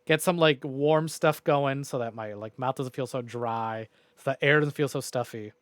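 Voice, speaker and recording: A man's voice: medium pitch, normal speed, no echo. A man livestreaming games, talking to viewers in an informal, rambling way with some mild swearing. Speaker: video game livestreamer. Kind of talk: casual chatty stream sign-off to viewers.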